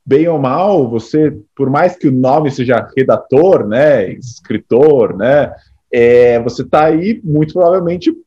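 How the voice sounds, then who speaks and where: A male host asks questions in a friendly, easygoing way, sprinkling in slang. His voice is husky and mellow at an everyday pitch. The audio sounds dull and slightly lo-fi. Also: lively intonation, takes it slowly. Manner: friendly, affirming, uses slang interjections. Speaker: interviewer, podcast host. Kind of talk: casual interview chat.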